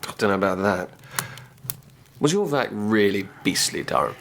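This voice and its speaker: An actor with an English accent playing a naive, stubborn young Edwardian student in a plain-spoken, earnest way for radio drama. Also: male voice, fairly low pitch, animated, moderate pace, gravelly, slight background noise. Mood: subdued. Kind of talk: period radio drama acting.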